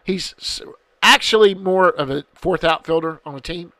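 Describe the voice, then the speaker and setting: A man's voice: high-pitched, moderate pace, pushed and bright, no room sound. A middle-aged man hosting a podcast, answering listener questions in a rambling, repetitive casual chat, with a stuffed-up head-cold voice. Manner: rambling, repeats himself, frequent restarts. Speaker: podcast host.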